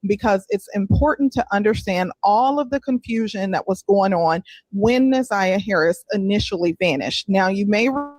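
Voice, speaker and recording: A woman's voice: medium pitch, moderate pace, few pauses, darkish tone, no room sound, rough recording. A woman narrating true-crime commentary from a script.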